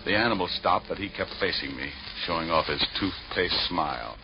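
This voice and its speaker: An actor playing a wry, deadpan hard-boiled private detective, narrating in 1940s radio noir style. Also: male voice, sits low, moderate pace, background noise. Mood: wry, tense. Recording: muffled old radio recording with music.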